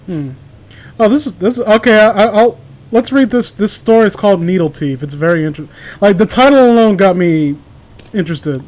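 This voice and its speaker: A man's voice: sits high, animated, brisk, a little mellow. A man chatting casually among friends and reading stories aloud, stumbling over words as he reads. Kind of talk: casual group banter and amateur story reading.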